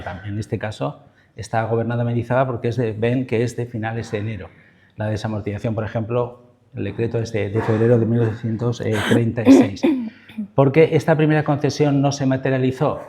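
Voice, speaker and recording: A man's voice: mid-range voice, warm tone, slight background noise. A man with an accent from Spain giving a history lecture as a professor, in a discursive, semi-improvised way with asides and checking tags. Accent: Spain Spanish.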